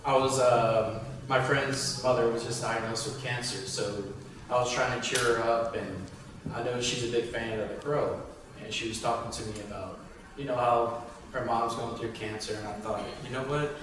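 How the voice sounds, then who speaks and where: A man's voice: medium pitch, fairly even intonation, moderate pace. Male screenwriter, casual panel answers, recorded far from the mic with heavy echo. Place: indoor room at a table read event. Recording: distant, very echoey, noisy room.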